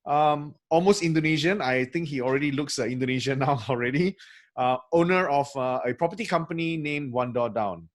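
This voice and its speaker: A man from Malaysia hosting a podcast interview over a video call, in a friendly, measured way. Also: fairly high pitch. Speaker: podcast host.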